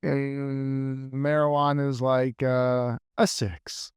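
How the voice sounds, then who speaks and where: A man being interviewed as a fitness coach, answering earnestly and thinking aloud in measured phrases. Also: mid-range voice, level tone, darkish tone, clean tone, no room sound, clean studio sound.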